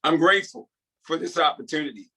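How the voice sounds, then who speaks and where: A man playing a humble, grateful, devout ex-prisoner in a script table read, sincere and halting. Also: on the high side, pushed and bright, husky, full character voice. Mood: humbly grateful. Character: earnest, grateful, devout male ex-prisoner starting over.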